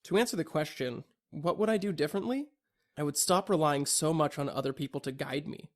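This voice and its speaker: A young adult man delivering a scripted, reflective personal monologue in an introspective, deliberate and earnest way. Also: fairly high pitch. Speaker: audio log narrator.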